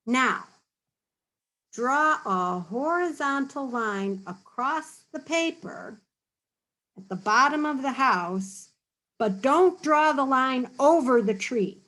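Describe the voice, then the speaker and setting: A woman's voice: high voice, lively intonation, slow pace, a bit bright, low-quality recording. A woman reading a picture book aloud to children, then patiently explaining drawing tips and checking in with them often. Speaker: storybook reader and teacher.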